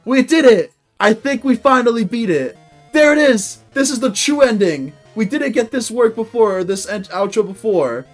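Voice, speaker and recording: Young male gameplay host, higher voice, keen and loud when he wins. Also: moderate pace, faint music behind. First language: English. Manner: excitable, cheering loudly at victories. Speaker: gaming video host. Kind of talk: let's-play commentary. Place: indoor room at a desk.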